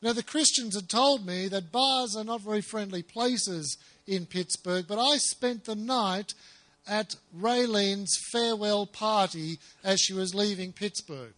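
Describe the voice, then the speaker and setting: A man with an Australian accent telling humorous travel anecdotes to an audience, pausing for an interpreter. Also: high-pitched. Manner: relaxed, humorous storytelling with chuckles. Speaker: speaker telling stories to an audience. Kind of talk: anecdotal storytelling talk, paused for interpretation.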